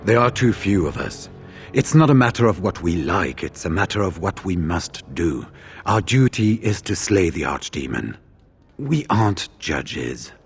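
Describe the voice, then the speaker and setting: Low-voiced, sober veteran warrior. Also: male voice, very animated, slightly rough, soft background music, full character voice. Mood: firm, reasoning. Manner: measured, reasoning, formal. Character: sober, pragmatic veteran male warrior of a sworn order. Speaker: voice actor in a fantasy role-playing game. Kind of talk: dramatic fantasy game cutscene acting.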